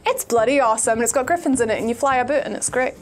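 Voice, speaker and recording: A woman's voice: on the high side, racing. A woman giving her opinion as a panellist in casual, enthusiastic, informal chat. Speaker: panellist on a gaming show.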